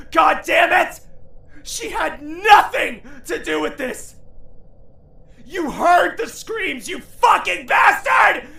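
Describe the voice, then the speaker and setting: Vengeful royal with huge emotional swings. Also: male voice, very high-pitched, vocal fry, full character voice. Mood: anguished rage. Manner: theatrical, swings between hushed menace and screaming. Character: grieving, vengeful young royal man, coldly menacing then raging. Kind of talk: fantasy audio drama acting. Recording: roomy echo with faint noise.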